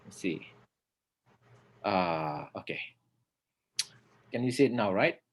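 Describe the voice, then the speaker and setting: A man in his thirties from North Sumatra with an Indonesian accent, giving a relaxed slide presentation as a pastor, pausing often on "uh" and laughing softly. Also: lowish voice, a little hiss or hum. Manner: hesitant, many "uh" fillers, soft laughs. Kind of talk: informal lecture with slides.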